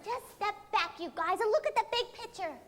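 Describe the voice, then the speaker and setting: A performer's very high, bright girl peacemaker. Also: fairly quick. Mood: earnest coaxing. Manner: coaxing, earnest, instructive. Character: earnest, bossy young girl peacemaker puppet. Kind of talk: children's educational musical puppet show. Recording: old VHS tape, faint music.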